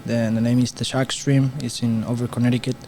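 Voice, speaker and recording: A man from Spain with a Spanish accent, a pool player commenting on a match as a guest in halting English. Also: medium pitch, level tone, mellow, a little hiss or hum. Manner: halting non-native English with restarts. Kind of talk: casual live commentary.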